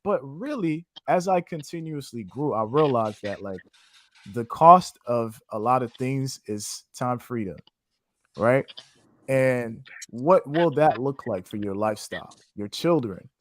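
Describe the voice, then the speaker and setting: A man's voice: mid-range voice, expressive, a little slow, fairly bright tone, no room sound. A man from Haiti, a trading educator, giving a passionate, preacher-like interview answer in a casual way. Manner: passionate, preacher-like, faith-filled phrasing; casual, with "man" and "you know what I'm saying".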